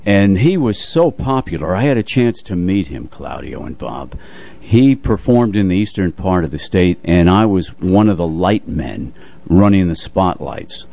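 An older man co-hosting a radio show, moving between relaxed chat and announcements. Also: low voice, a little slow, creaky.

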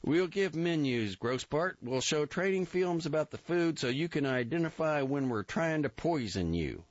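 A man voicing a gruff, impatient army captain with a loud, bullying, sarcastic manner. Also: a little high, lively intonation, moderate pace, dry recording, pitched above the performer's own voice, lightly acted. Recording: dull dry recording.

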